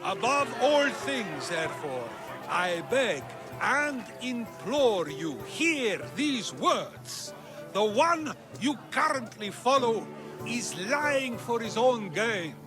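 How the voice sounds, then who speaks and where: A man's voice: very high voice, very animated, slow, over music, heavily acted. An actor playing a persuasive Athenian philosopher in a video game, probing and earnest as he argues rhetorically. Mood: impassioned. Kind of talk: theatrical video game acting.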